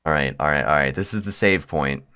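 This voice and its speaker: A man's voice: very deep voice, a little fast, bright, forceful tone. A man streaming a visual novel with casual, slangy, swearing commentary and exclamations of mock anguish. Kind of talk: casual game commentary with read-aloud dialogue.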